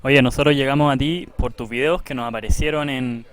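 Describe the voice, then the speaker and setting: A man co-hosting a podcast, asking calm, measured questions. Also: mid-range voice. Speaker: podcast co-host.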